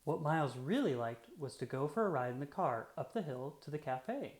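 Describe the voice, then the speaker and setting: A storyteller reading a children's picture book aloud with deliberate, expressive phrasing for young listeners. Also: mid-range voice. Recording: roomy, echoey sound.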